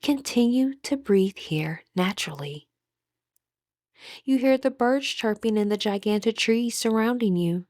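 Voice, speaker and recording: A woman guiding a meditation in a soothing, deliberate manner with gentle pauses. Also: on the high side, animated, no echo, studio quality. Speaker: meditation guide. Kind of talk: guided meditation narration.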